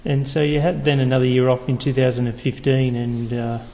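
A man interviewing a former coach, asking halting questions full of fillers. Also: mid-range voice, little pitch movement, warm tone. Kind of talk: oral history interview questions. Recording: long reverb, faint background noise.

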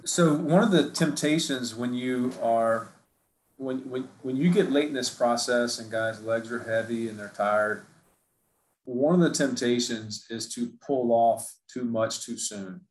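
A man's voice: medium pitch, a little slow, a little hiss or hum. Male coach, panel guest. Earnest and a bit repetitive. Roomy, echoey audio. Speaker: performance coach. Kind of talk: panel discussion. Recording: roomy echo.

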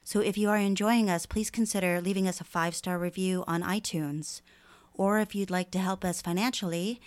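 A woman presenting a podcast, reading a scripted appeal to listeners. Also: fairly low pitch.

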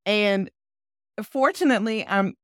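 A woman co-hosting a podcast who talks loudly with a bassy voice, measured and assured. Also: animated, dry recording, studio quality. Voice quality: loud with a bassy voice. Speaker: podcast co-host. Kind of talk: casual conversation.

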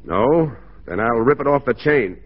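An actor playing a stern, dry-witted Mounted Police constable, firm and measured, in 1940s radio style. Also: male voice, mid-range voice, animated. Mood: resolute. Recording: dull 1940s radio recording.

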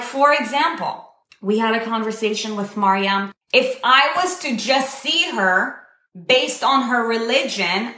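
A woman's voice: on the high side, fairly bright tone. A young woman from Israel speaking casually and emphatically to a group, posing questions to her listeners in a conversational way. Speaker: speaker leading a community discussion. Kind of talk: casual motivational talk to a group. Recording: echoey room.

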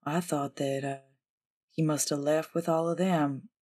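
A woman plays a female stunt driver in a period audio drama. Her voice sits low and is rough-edged and husky. She is deferential and folksy, choosing words carefully and staying guarded. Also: fairly even intonation, a little slow, a little mellow. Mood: casually evasive. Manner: polite, hesitant, folksy. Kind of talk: 1920s-set audio drama roleplay.

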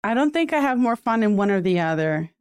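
A woman from Puerto Rico whose first language is Spanish, answering interview questions in a relaxed, thoughtful way with soft laughs. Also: medium pitch, a little fast, clean tone, dry recording, studio quality. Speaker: podcast guest.

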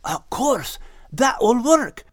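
A man's voice: very high-pitched, very animated, takes it slowly, no room sound, clean studio sound, voice pushed up, exaggerated voice. A man voicing a brave but self-doubting male dog with a Scottish accent for an audiobook. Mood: excited, worried.

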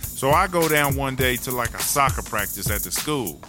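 A man's voice: mid-range voice, animated, moderate pace, natural voice. A man playing a brash, streetwise woman prisoner in a comedic audio drama, rambling indignantly in slang. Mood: animated storytelling. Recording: hip hop music behind the voice.

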